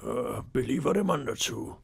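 Guy doing a gruff old-ship pirate, husky rasp, storybook reading. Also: medium pitch, animated, moderate pace, full character voice. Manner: blunt and gruff. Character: rough pirate sailor, male, blunt and thirsty. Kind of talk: audiobook character voice.

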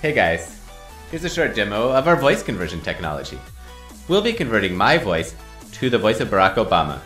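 A man's voice: on the low side, sing-song, a little fast, a bit bright, faint music behind. A man in his thirties presenting a product demo into a studio mic in an office. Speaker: tech company co-founder. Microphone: studio condenser mic with pop filter.

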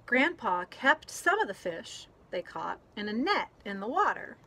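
A woman reading a children's picture book aloud outdoors in a gentle, deliberate way, pausing between phrases. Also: on the high side, sing-song. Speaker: story reader. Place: outdoors, garden. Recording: clear outdoor background noise.

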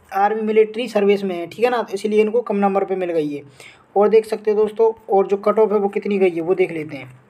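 Indian man, tutorial host, informal explaining, repetitive check-in tags, soft dark tone, flat intonation. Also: high voice. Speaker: host of an educational update channel. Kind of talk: informal screen-share tutorial. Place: voice-over on a phone screen recording.

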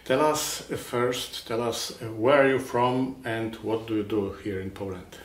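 A man interviewing a guest, asking questions in a halting, hesitant way. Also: on the low side, steady tone. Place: bright studio room, indoors. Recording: roomy studio echo.

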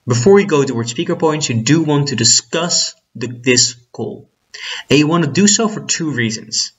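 A man in his twenties from the Netherlands with a husky voice, giving an instructional talk as a debate trainer, stressing his key points. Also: mid-range voice, a bit bright. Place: small plain room indoors. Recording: dull lo-fi webcam sound.

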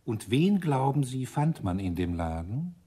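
A man's voice: medium pitch, very animated, unhurried, dark tone, husky. A man playing an irritable British police inspector in a German radio crime drama, dry and commanding. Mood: sardonic, commanding.

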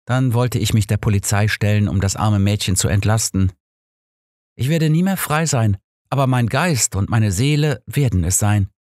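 A man voicing an embittered woman who makes a soft, measured confession of murder in an audiobook. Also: on the low side, expressive, no room sound, clean studio sound, natural voice. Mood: resigned.

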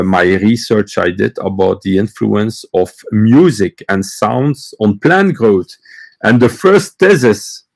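A man's voice: fairly low pitch, creaky, a little mellow. A man in his forties with a Flemish Belgian accent, French his first language, hosting a casual live stream and speaking hesitantly with many fillers and restarts, filmed close up in a small room. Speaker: host of a live stream on gardening. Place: small room with bookshelves, indoors.

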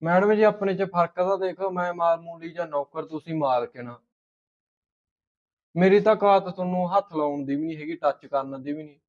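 A man's voice: a little high, normal speed, darkish tone, dull audio, close to an everyday voice. A man acting a humble, hesitant, deferential household servant in a short film, with a Punjabi accent.

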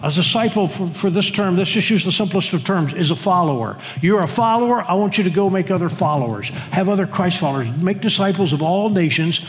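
A man's voice: high-pitched, lively intonation, brisk, hardly any pauses. Older male pastor preaching in a reverberant church. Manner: earnest, repeats words and phrases, leads congregation reading. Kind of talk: sermon. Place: church sanctuary. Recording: long hall reverb in a church.

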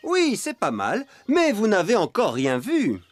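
A man's voice: high-pitched, huge pitch swings, unhurried, full character voice. A man voicing a cheerful, bumbling young farmhand who plays the grand amateur magician in a children's cartoon. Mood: boastful. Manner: theatrical, grandiose. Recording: music behind the voice.

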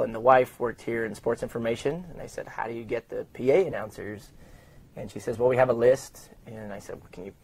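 A middle-aged American man with a medium-pitched voice speaks in a conversational interview style. He tells anecdotes and walks through his announcing work step by step, voicing quoted exchanges as he goes. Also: a little mellow, dry recording. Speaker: sports public address announcer being interviewed. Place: open indoor lobby studio at a desk.

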